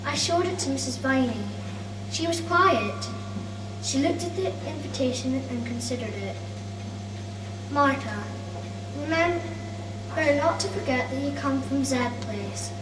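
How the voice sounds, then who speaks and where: A woman's voice: sits high, animated, measured pace, pauses often. A young schoolgirl of about nine reading a children's book aloud to an audience, carefully, with small stumbles. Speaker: schoolgirl reader. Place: cafe event room, indoors. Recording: echoey room, distant, noisy with hum.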